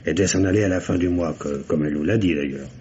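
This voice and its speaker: Actor as a fast-talking, insistent police assistant. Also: male voice, low-pitched, mellow, natural voice. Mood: eager, reporting. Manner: apologetic, colloquial, persistent. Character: eager, insistent male police subordinate, respectful. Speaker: radio actor. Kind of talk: old French radio mystery drama acting. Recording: muffled, noisy old radio recording.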